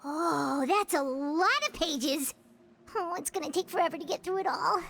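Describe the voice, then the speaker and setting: A woman voicing a tiny, excitable, childlike female fairy companion in a video game, with a high, squeaky, bouncy voice. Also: moderate pace, gravelly, exaggerated voice. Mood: eager. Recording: game audio over soft music.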